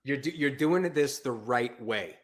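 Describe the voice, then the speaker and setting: A man with a medium-pitched voice hosts a call-in show in a loose, conversational style. He talks in informal slang, leaning emphatically on his points like someone telling a story among friends. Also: unhurried. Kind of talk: casual chat, storytelling.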